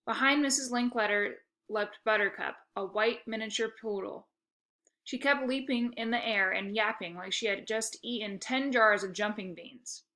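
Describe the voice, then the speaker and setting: A woman reading a children's book aloud as an expressive storyteller, acting out the dialogue. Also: mid-range voice, moderate pace. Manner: animated, acts out dialogue.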